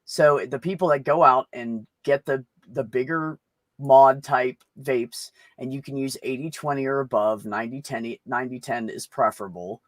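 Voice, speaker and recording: A man's voice: medium pitch, steady tone, normal speed, no room sound. A man in a casual group chat, talking bluntly and informally with plenty of swearing.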